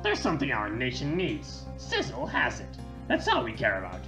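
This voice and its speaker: A woman's voice: a bass voice, huge pitch swings, moderate pace, over music, full character voice, low-quality recording. A woman voicing a smug, sinister male villain from a video game, with an oily, mocking sing-song delivery. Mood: gloating.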